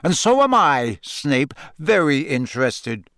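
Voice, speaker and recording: A man voicing a gruff, battle-scarred older male professor in an audiobook, growling, taunting and sardonic with quiet menace. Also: a little high, very animated, no room sound, clean studio sound, full character voice. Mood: challenging. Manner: barking, taunting, deliberate. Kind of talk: audiobook character voice.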